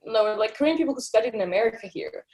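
A young woman from Denmark speaks English in a slightly high, bright voice. She chats in an upbeat, friendly way as a podcast guest, laughing often and using lots of fillers. Her delivery is casual, as if talking with a friend. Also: lively intonation, racing. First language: Danish. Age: early 20s. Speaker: guest, YouTuber.

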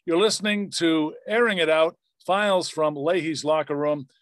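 A man's voice: fairly high pitch, moderate pace, a bit bright, dry recording, clean studio sound. A man hosting a podcast, talking casually and thanking his guests appreciatively. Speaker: podcast host. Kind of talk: casual interview sign-off.

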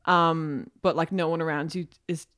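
A woman's voice: low voice, steady tone, normal speed, a bit bright. A woman from Ireland with an Australian accent, a guest journalist talking in a rambling, chatty way full of fillers. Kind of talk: casual podcast chat.

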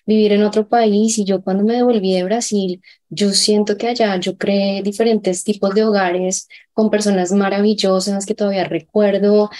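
A woman hosting a podcast interview, friendly and quick to laugh as she shares her own stories. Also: mid-range voice, dark tone. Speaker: podcast host. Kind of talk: conversational interview.

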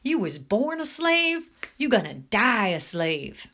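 Lady doing a cocky teen guy bully from a storybook, mocking and sneering, drags the words out slow. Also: medium pitch, animated. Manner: taunting, drawn-out jeering. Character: muscular swaggering teenage male bully, sneering and mocking.

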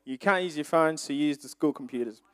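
A man whose first languages are Swahili and French, preaching informally to young people with conversational, animated storytelling and questions to his listeners. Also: on the high side, quick pace, no echo. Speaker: preacher speaking to a youth group. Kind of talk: informal sermon with personal anecdotes.

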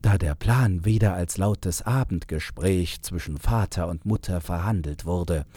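Very deep, husky male storyteller. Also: mellow, dry recording. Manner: measured, unhurried storytelling. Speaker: storyteller, narrator. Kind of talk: scripted fairy-tale narration.

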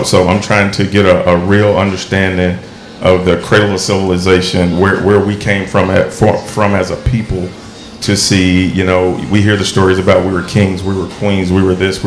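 A man, a retired military guest on a podcast panel, speaking earnestly and in a measured way. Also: deep voice. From Mississippi. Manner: earnest, measured, restarts often. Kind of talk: casual panel discussion. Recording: echoey room, faint background noise.

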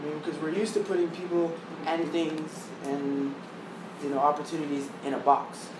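Rambling young man with a fairly high voice. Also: little pitch movement, normal speed. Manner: loose, rambling, full of "like" and swearing. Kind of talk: casual chat. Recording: roomy, distant mic with background noise.

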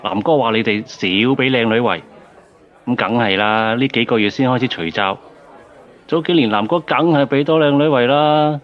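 An actor playing a cheeky, flippant self-styled uncle in a Cantonese radio drama, with a Hong Kong accent. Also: male voice, mid-range voice, dull audio, lightly acted. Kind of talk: radio drama acting, bar banter.

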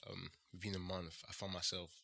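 Deep gravelly young man, French accent. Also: level tone, bright, forceful tone. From France. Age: young adult. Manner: measured, pauses with frequent "uh" and "um". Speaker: guest. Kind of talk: casual interview talk.

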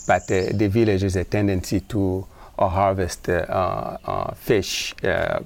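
Middle-aged man, low gravelly voice, halting, heavy on hesitations, relaxed interview guest. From Democratic Republic of Congo. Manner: halting, many filler pauses. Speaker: guest, environmentalist. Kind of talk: casual interview conversation.

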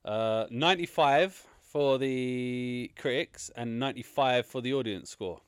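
A man hosting a casual film review podcast, teasing his co-hosts and talking over them in an animated way. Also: mid-range voice, a little slow, clear, resonant tone, no room sound, studio quality. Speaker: podcast host.

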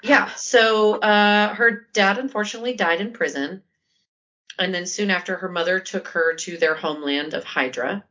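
A woman's voice: moderate pace, pushed and bright, highs cut off. A woman co-hosting a casual podcast, joking and chatty with a commanding voice, telling a history story. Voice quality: commanding, low-sounding voice. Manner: joking, chatty, says "like" often. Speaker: podcast co-host.

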